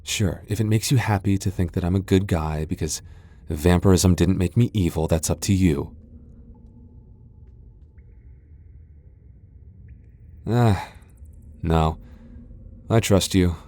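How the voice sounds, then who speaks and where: Casting: male actor as haughty tsundere vampire lord, low-pitched, prickly and easily flustered, for intimate boyfriend roleplay audio. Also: moderate pace, mellow. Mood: reassuring, reluctant. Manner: haughty, defensive, stammering when flustered. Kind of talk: ASMR boyfriend roleplay acting.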